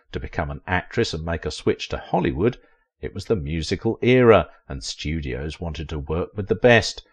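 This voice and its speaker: A man reading a scripted documentary narration with dramatic storytelling and sudden emphasis. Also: on the low side.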